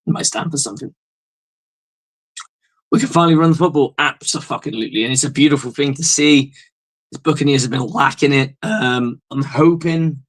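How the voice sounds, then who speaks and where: Hoarse, profane sports-show host. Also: male voice, medium pitch, poor audio. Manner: blunt, profane, rambling fan talk. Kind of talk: casual sports reaction monologue.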